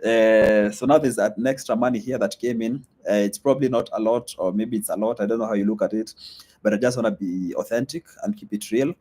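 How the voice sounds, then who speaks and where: Low-voiced man. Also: a little fast, dark tone, slightly rough. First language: Swahili. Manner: loose and chatty, many fillers, reads comments aloud. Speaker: vlogger hosting a live stream. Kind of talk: casual live-stream chat reading comments.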